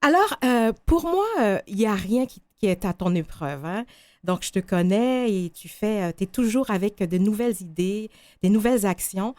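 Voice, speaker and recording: A woman's voice: mid-range voice, lively intonation, moderate pace, darkish tone, no echo. A woman hosting a radio interview show, presenting and questioning her guest.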